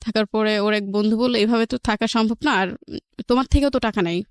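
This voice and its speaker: A woman with a Bangladeshi accent, an interview guest recounting her personal life in a halting way, repeating phrases for emphasis. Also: fairly high pitch, clear, resonant tone, no echo, clean studio sound.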